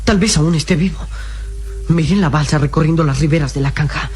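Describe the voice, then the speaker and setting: A man's voice: on the high side, fast talker, natural voice. An actor playing a frightened but determined young boy in a radio drama, talking urgently to himself. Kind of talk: 1960s Mexican radio drama acting. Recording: old radio serial recording with loud music.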